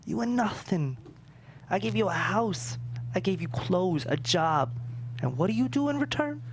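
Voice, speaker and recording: An actor playing a menacing, mock-fatherly male crime boss with a deliberate, sneering delivery in a script table read. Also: high voice, lively intonation. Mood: cold contempt.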